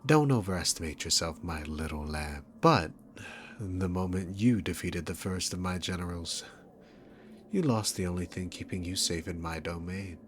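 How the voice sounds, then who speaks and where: A man's voice: deep voice, slight background noise, natural voice. A man playing a smug, sarcastic, dominant demon king villain in an ASMR roleplay, mocking and condescending as he addresses the listener. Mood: teasing, dominant. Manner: mocking, condescending, unhurried, punctuated by chuckles.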